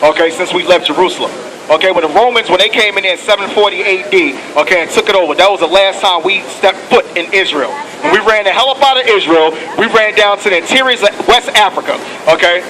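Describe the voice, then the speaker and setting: A man's voice: sits high, fast talker, a crowd in the background, rough recording. A man preaching outdoors on a city street, fiery and profane, pushing each point with "okay" and "come on". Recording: loud street noise outdoors.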